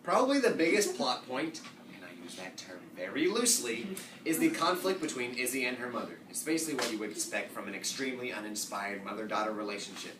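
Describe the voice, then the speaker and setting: A man's voice: mid-range voice, expressive, fast. A man reading scripted comedic commentary as a reader's theatre performer. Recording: noisy echoey room, distant mic.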